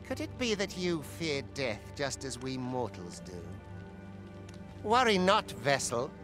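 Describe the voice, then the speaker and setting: An actor playing a sneering, cruel overseer in a fantasy game, with taunting, theatrical, archaic speech. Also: male voice, medium pitch, sing-song, takes it slowly, music in the background. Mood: menacing, mocking. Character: sneering, cruel male overseer of pawn laborers.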